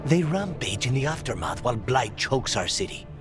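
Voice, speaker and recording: An actor playing a bitter, grieving assassin, grave, terse and weary, in a dramatic video game. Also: male voice, medium pitch, very animated, moderate pace, music in the background, natural voice. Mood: bitter anger.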